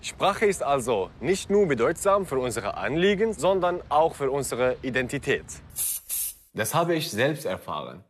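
A man's voice: medium pitch, big pitch swings, normal speed. Guy hosting a report, kurdish his first language, voice moves up and down a lot. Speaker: presenter. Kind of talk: presenting a feature report.

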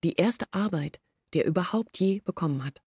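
A woman's voice: fairly low pitch, expressive, fairly quick, darkish tone, slightly rough, no echo, clean studio sound. An actress playing a troubled young woman who earnestly recounts her family's long hardship in a literary radio drama. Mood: earnest, weary. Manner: earnest, reflective storytelling in long sentences. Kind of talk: German literary radio drama acting, monologue.

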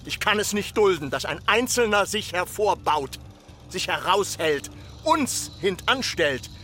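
An actor playing a stern, threatening villager who insistently admonishes and demands order, in a literary radio drama. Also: male voice, sits high, animated, unhurried, sharp tone, a little hiss or hum, full character voice. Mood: indignant.

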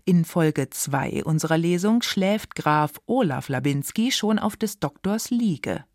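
A woman presenting a literary reading on radio, with scripted, lively and slightly wry delivery. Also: fairly low pitch, a little slow, no room sound, clean studio sound. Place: dry studio.

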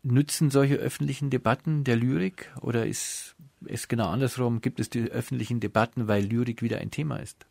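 A man's voice: medium pitch, fairly even intonation, brisk, vocal fry, a little mellow, no echo. A man hosting a radio interview, putting prepared questions and presenting in an orderly way.

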